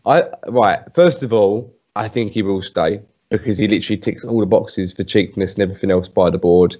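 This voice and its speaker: A man's voice: on the low side, fairly quick, warm tone, highs cut off. A man speaking as a fan panelist in a casual football discussion, blunt and pessimistic.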